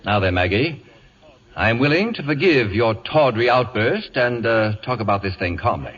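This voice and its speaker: An actor playing an imperious, acid-tongued celebrity houseguest in a 1940s radio comedy, with a haughty, cutting manner. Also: male voice, mid-range voice, animated, normal speed, a bit bright, husky, big-room sound. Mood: controlled. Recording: dull vintage radio recording.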